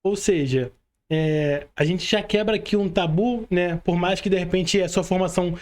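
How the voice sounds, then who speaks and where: A man's voice: high voice, normal speed. A young Brazilian man hosting a podcast casually, informal and slangy, often checking that his guest agrees. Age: young adult. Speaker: podcast host.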